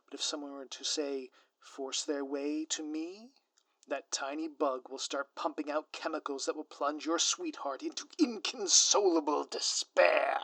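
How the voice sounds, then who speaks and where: A man's voice: fairly high pitch, steady tone, unhurried, fairly bright tone, no room sound. A man playing a gleefully scheming, grandiose supervillain in an audio roleplay, pompous and taunting with florid, melodramatic delivery. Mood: flustered, threatening.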